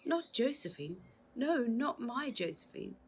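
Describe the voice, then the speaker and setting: A woman's voice: on the high side, big pitch swings, measured pace, slight background noise, voice pushed up. A woman voicing a loving, protective uncle in a storybook, emotional and insistent. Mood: pained whisper.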